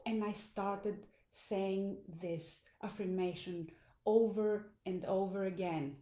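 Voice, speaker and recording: A woman's voice: mid-range voice, steady tone, warm tone, echoey room. A woman with a Greek accent, speaking English as a second language, gives an earnest motivational talk as a life coach. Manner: earnest and deliberate, with small restarts. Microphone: phone or camera in a live stream.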